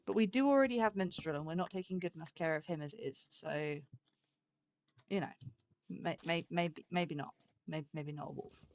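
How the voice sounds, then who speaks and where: Woman, slightly low voice, casual let's play host, rambling and playful as she thinks aloud. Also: moderate pace. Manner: rambling, self-chiding, playful.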